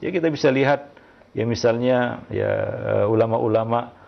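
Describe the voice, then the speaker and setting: A male religious teacher answering listener questions in a measured, hesitant way, often tagging his phrases with short fillers. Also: medium pitch, creaky. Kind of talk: religious Q&A lecture. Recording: muffled lo-fi audio.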